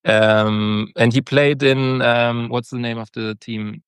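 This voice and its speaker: A man's voice: mid-range voice, little pitch movement, slow, clear, resonant tone, no room sound. A young man from Germany, a football captain, talking casually in an interview with many hesitations. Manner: hesitant, many fillers and restarts.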